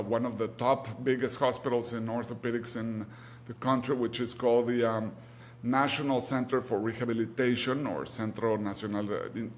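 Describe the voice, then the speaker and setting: A man with a Mexican accent and Spanish as his first language talks in a conversational interview style. His pitch sits in the middle, and he feels his way through phrases, doubling words for emphasis. A light hum sits behind the voice. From Mexico City, Mexico. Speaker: guest, orthopedic surgeon.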